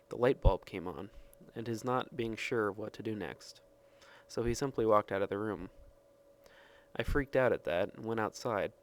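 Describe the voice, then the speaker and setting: A man reading a written personal story aloud. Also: fairly low pitch. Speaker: reader of personal testimony.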